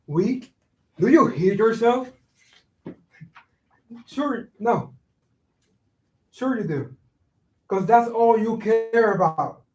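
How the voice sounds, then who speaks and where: With room echo around him, an actor plays a hurt and resentful brother in a stage drama. His high male voice is passionate and accusing, picked up from a distance. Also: expressive, extremely slow, long pauses, exaggerated voice. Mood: bitter, accusing. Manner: accusing, repetitive, heated. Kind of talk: staged reading of a play. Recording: roomy echo, distant microphone.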